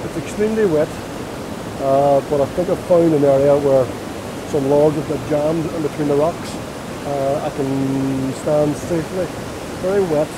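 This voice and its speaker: A man in his fifties vlogging casually outdoors on a lapel mic, thinking aloud in a halting way. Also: fairly high pitch. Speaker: landscape photographer vlogging. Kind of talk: casual explanatory vlog narration. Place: outdoors by a rushing woodland stream. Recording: loud hissing waterfall noise. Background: loud rushing waterfall water.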